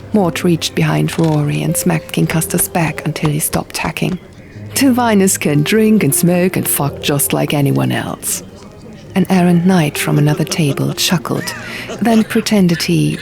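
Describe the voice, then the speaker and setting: A woman's voice: low-pitched, vocal fry. A woman reading a fantasy novel aloud as scripted, dramatic audiobook narration. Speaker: audiobook reader. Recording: music behind the reading. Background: music and sound effects.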